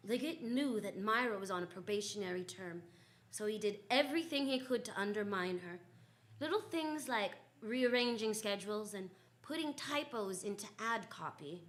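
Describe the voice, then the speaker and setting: Woman as wisecracking lady detective, high voice. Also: a bit bright. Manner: confident, wry, storytelling. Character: brisk, wisecracking female private detective. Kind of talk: 1940s radio detective drama, first-person narration.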